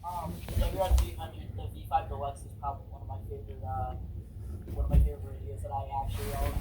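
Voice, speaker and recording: A man chatting casually and jokingly, playful and halting, often repeating his words as he goes. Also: mid-range voice, little pitch movement. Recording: slightly noisy amateur recording.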